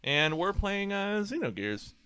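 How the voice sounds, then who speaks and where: A man's voice: high-pitched, sing-song, measured pace, bright, forceful tone, clean tone, dry recording. A man hosting a podcast in a casual, chatty style. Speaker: podcast host.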